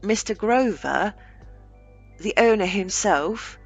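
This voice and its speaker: A woman narrating a scripted short story aloud, with deliberate phrasing and dramatic pauses. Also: mid-range voice, expressive, muffled recording, soft background music.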